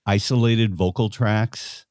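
A man's voice: on the low side, fairly even intonation, slow, a little mellow, no room sound, studio quality. A man in his late fifties co-hosting a podcast in casual, laid-back chat, explaining things as he goes. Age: late 50s. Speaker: podcast co-host.

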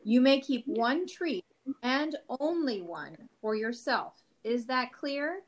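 A woman's voice: sits high, animated, slow, heavily acted. A woman playing a suspicious, firm mother in an amateur audio play. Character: suspicious, firm mother questioning her children.

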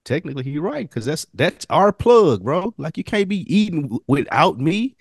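A man's voice: on the high side, big pitch swings, normal speed, slightly rough, no echo, clean studio sound. A man co-hosting a podcast with casual, slangy, conversational TV review talk. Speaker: podcast co-host.

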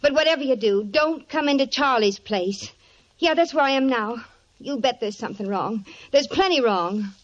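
A woman's voice: on the high side, animated, normal speed, dry recording, close to an everyday voice. An actress playing a flirty, anxious married woman at a bar in 1950s radio drama style. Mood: panicked. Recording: dull, muffled old radio recording.

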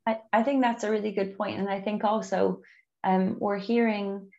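A woman who is a native English speaker, hosting a conversational interview in a measured, encouraging way with frequent ums. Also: mid-range voice, steady tone, vocal fry, dark tone, lo-fi sound. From outside the UK. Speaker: podcast host.

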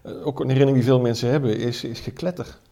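Gravelly, dark-voiced male historian. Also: medium pitch, steady tone, fast talker. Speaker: military historian, expert interviewee. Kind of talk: conversational explanation.

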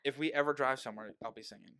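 A man's voice: mid-range voice, very fast, bright, forceful tone, clear, resonant tone. A young man hosting a podcast in casual chat, rambling and joking, often breaking off mid-sentence. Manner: rambling, self-interrupting, joking.

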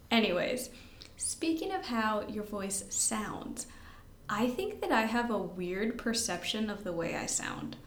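A woman's voice: medium pitch, lively intonation, slow. A young woman co-hosting a podcast in casual chat, rambling and giggly, poking fun at herself and sounding tired. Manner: giggly, rambling, self-deprecating. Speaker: podcast co-host. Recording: roomy echo.

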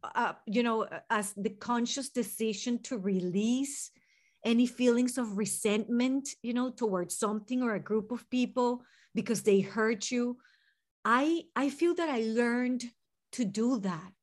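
A woman from Venezuela with a Spanish-tinged accent speaks in a slightly high voice. She is a therapist and guest on a podcast. She explains herself earnestly and reflectively, in long unfolding sentences. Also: unhurried. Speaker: guest, licensed mental health therapist. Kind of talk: podcast interview.

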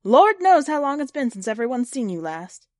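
Woman as a frank, nudging grandmother, raised pitch. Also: very animated, normal speed, dry recording, studio quality. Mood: eager, nudging. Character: nudging old grandmother, eager matchmaker, frank.

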